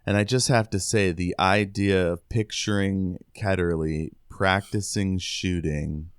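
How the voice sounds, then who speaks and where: A man's voice: deep voice, fairly even intonation, unhurried, smooth, no echo, studio quality. An American man co-hosting a podcast, talking casually as he discusses a book. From United States. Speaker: podcast co-host.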